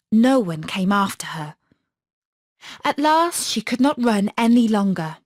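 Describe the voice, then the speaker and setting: A woman narrating a children's fairy tale as an audiobook, in an expressive storytelling manner. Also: medium pitch, no echo, studio quality.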